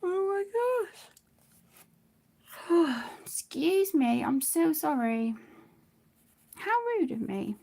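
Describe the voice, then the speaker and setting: Chatty woman with a creaky voice. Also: high-pitched, lively intonation, drawn-out words, long pauses. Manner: apologetic, chatty, affectionate, with soft trailing phrases. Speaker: livestream host of an adult colouring channel. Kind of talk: casual livestream chat while colouring.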